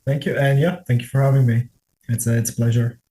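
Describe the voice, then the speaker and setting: Male interview guest, late 20s, Bulgarian accent. Very soft-edged, relaxed timbre, self-effacing conversational delivery. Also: medium pitch, fast talker. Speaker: interview guest, software tester. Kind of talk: casual interview chat.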